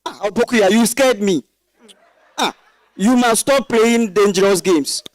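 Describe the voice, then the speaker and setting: An actor playing a defensive husband in a dramatized stage reading. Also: male voice, high-pitched, a little slow, no room sound, rough recording. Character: defensive husband making excuses about late meetings.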